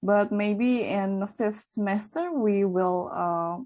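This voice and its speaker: A young woman, a student, speaking English hesitantly with pauses as she interviews a teacher. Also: medium pitch, a little mellow. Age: young adult. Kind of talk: interview questions.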